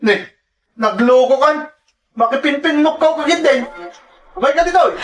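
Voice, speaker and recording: A man's voice: extremely high pitch, normal speed. A man playing a menacing, coercive uncle who threatens his young niece in an audio drama. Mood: agitated, pressing.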